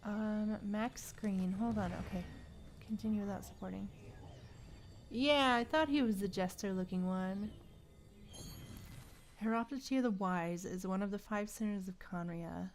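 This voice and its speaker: A woman's voice: medium pitch, a little slow, long pauses. A woman chatting casually as she streams a game, with a playful, exclaiming way of talking to viewers. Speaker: livestream host. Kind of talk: casual gameplay livestream chat.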